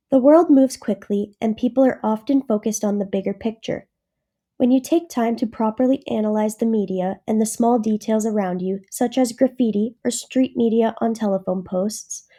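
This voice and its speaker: A young woman from northern Ontario, a native French speaker, narrates a prepared class essay. Her voice sits a bit high yet sounds very dark and mellow. She reads formally and evenly. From northern Ontario, Canada. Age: young adult. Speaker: university student. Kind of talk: scripted video essay narration.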